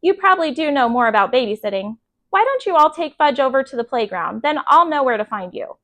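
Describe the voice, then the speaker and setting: A woman's voice: sits high, very animated, a little fast, a bit bright. A woman voicing a fond, harried mother of young boys in a storybook, coaxing and a little hesitant. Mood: agreeable.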